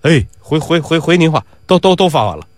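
A man's voice: fairly high pitch, sing-song, brisk, no room sound. A man voicing a self-important, hot-tempered errand runner who stammers and blusters, in a comic folk tale. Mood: flustered.

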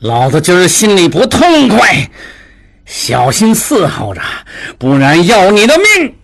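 A man's voice: mid-range voice, very animated, takes it slowly. A man voicing a bitter, drunken, menacing older brother, a schemer with a sneering drawl, in a dramatized audiobook. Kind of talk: dramatized audiobook character acting.

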